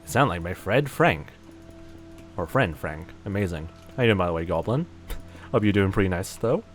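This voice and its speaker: Male streamer, lowish voice, loose rambling game-stream chatter, faint music underneath. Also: animated, moderate pace. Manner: rambling, many fillers, self-mocking. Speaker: livestreamer. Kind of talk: casual gaming livestream commentary.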